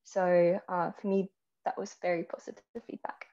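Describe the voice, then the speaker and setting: A woman's voice: fairly low pitch, level tone, dark tone. A woman from Austria, a guest journalist explaining her work in a panel over a video call. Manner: measured, explanatory, many ums. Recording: slightly muffled call audio.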